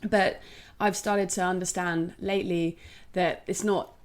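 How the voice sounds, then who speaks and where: A woman with a slightly croaky voice, chatting casually as a podcast co-host and leaning on "like" as a filler. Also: on the low side, fairly even intonation, a little slow. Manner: chatty, uses "like" a lot, speeds up. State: croaky voice, clearing throat.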